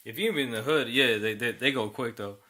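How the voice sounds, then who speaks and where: A man's voice: medium pitch, fast, sharp tone. A man co-hosting a casual podcast, swearing in slang and repeating words as he works out his point. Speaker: podcast co-host.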